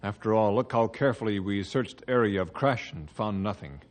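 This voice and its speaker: A man's voice: lowish voice, a little fast, close to an everyday voice. A man playing a sober, doubtful ranger in a radio western, speaking clipped, broken English. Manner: clipped, article-dropping English. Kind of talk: old-time radio western drama acting.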